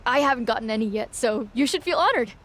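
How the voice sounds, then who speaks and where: A woman's voice: a little high, animated, quick pace, fairly bright tone. A woman playing a naive, eager young woman wrestler and devoted follower in a video game cutscene, speaking with starstruck earnestness. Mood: eager, trusting.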